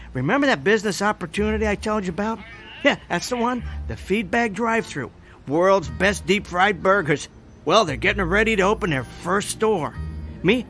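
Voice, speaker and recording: High-voiced, desperate small-time hustler man. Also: fairly bright tone. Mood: wheedling, upbeat. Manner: wheedling, nervous, stammering fast talker. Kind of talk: radio drama acting.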